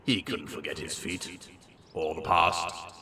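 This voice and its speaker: A man's voice: low voice, level tone, slow pace, heavy background noise. A man reading absurd comic fiction aloud as a host, in a theatrical, mock-serious way with big swings of emphasis.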